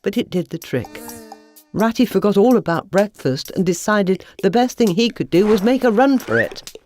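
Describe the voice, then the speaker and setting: A woman narrating a scripted children's bedtime story in an expressive storytelling manner. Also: mid-range voice, moderate pace, soft background music.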